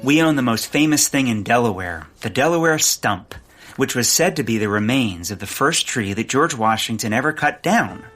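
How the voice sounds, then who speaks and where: Clueless rich bird nerd, earnest and rambly, takes everything literally, comedy radio play. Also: male voice, medium pitch, animated, normal speed, a bit bright, soft background music. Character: naive, muddled, earnest rich birdwatcher man. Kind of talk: comic audio drama acting.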